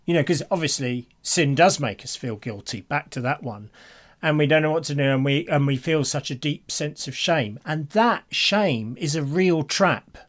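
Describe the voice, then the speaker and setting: A man's voice: a little high, normal speed, hardly any pauses. A man co-hosting a reflective conversation about faith, speaking earnestly and repeating phrases for emphasis. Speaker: podcast co-host.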